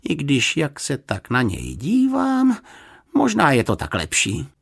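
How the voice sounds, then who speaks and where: An older, motherly housekeeper from a children's storybook, as acted out by a narrator. The voice is pitched up and swoops about, brusque and exclamatory, scolding yet kindly. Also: huge pitch swings, vocal fry, breathy edge, heavily acted. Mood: startled. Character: stout motherly grey-haired housekeeper, brusque and fussy.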